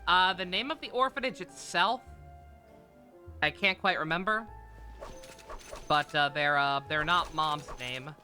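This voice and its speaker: A man's voice: a little high, unhurried, pauses often, pushed and bright. A man streaming a video game with casual, rambling commentary, often hesitating and trailing off mid-thought. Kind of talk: casual livestream commentary over gameplay. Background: game music.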